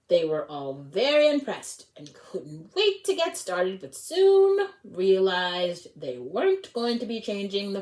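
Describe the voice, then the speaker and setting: A woman reading a storybook aloud as a read-along host, expressive and informal, occasionally correcting herself. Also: medium pitch. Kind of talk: storybook read-along narration with casual asides.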